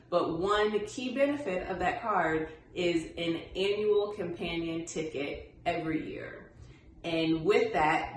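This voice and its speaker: A woman in her thirties chatting upbeat to camera as a travel vlogger, thinking aloud with the odd filler. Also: sits low. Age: 30s. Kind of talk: casual talk to camera. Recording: very echoey room, faint ambient noise.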